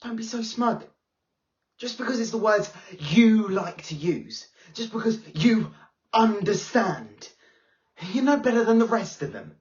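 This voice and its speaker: An actress playing an angry excluded pupil who bitterly accuses a teacher, delivering a slow dramatic monologue. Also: female voice, low-pitched, lively intonation, muffled recording. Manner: bitter, accusing, rising to yelling.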